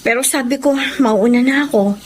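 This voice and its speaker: A woman's voice: on the high side, moderate pace, slight background noise, natural voice. A young woman playing a dutiful, respectful daughter and employee in a Filipino audio drama, speaking earnestly with a Filipino accent. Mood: subdued. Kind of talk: Filipino radio drama acting.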